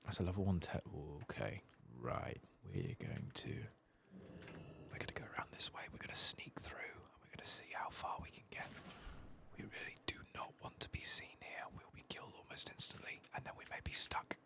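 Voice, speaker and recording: A soft-spoken man giving rambling ASMR commentary as he plays a game, sometimes dropping into a whisper. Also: very deep voice, huge pitch swings, moderate pace, vocal fry. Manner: rambling, musing to himself; often drops into whispers. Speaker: host of a gaming playthrough channel.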